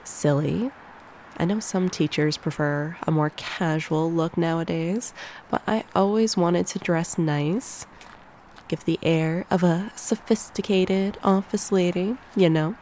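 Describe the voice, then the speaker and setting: A woman playing a succubus college teacher with a low voice and a slow, soft, teasing way of talking. She is nurturing and speaks close to the microphone in an ASMR roleplay, over clearly audible background noise. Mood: playful teasing. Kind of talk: ASMR roleplay, one-sided conversation. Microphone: close binaural microphone.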